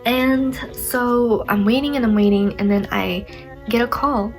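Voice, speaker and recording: A woman's voice: fairly high pitch, steady tone, measured pace, faint music behind, poor audio. A young woman vlogger with a stuffy nose, telling a story in a chatty, rambling way, full of "like" and self-mocking asides. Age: young adult. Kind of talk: casual storytime.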